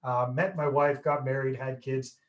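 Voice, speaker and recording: A man's voice: mid-range voice, level tone, normal speed, muffled recording. A Canadian man in his fifties, a guest telling stories in a casual, jokey, self-deprecating way over a video call. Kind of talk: casual interview chat.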